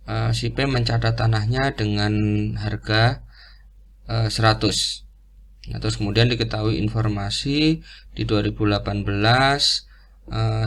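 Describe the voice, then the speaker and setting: A man with an Indonesian accent explains a worked example as a lecturer, step by step, in an informal, halting way and often repeating himself. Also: on the low side, fairly bright tone. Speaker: accounting lecturer.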